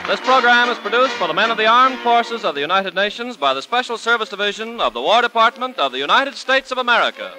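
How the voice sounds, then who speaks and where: A man announces in 1940s radio style with a fairly high voice. Loud music plays behind him on the old broadcast recording. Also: animated, quick pace, hardly any pauses, low-quality recording.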